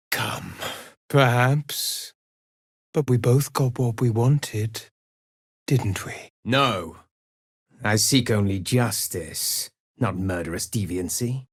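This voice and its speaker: A man's voice: medium pitch, no echo, studio quality. A man playing a solemn, menacing necromancer judge hungry for vengeance in a fantasy video game, speaking formally and theatrically in archaic phrases. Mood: calm, formal.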